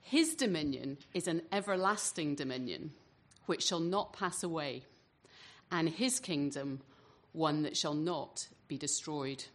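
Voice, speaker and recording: A woman giving a formal scripture reading in church, solemn and measured, phrase by phrase. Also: deep voice.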